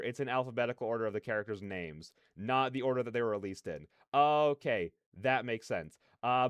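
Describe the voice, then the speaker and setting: A man's voice: mid-range voice, smooth, no room sound, clean studio sound. A man hosting a livestream, chatting casually and animatedly in slangy, rambling opinions. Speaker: livestreamer, reaction host. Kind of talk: casual livestream chat with viewers.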